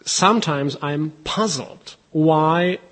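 Brief: Czech-accented man, linguist being interviewed, fairly high voice, hesitant and lecturing. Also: a bit bright. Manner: halting, many fillers and restarts, lecturing. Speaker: head of a language institute, linguist. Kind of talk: interview answers.

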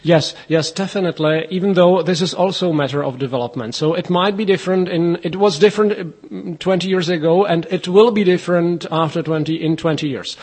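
A man's voice: high-pitched, a little fast, hardly any pauses, a little mellow, slightly rough. A man with a Czech accent, a linguist answering interview questions with many fillers and restarts. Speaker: linguist, head of a language institute.

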